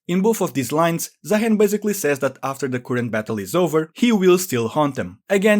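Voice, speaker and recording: A man presenting game lore in conversational scripted narration, explaining things with informal asides. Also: on the high side.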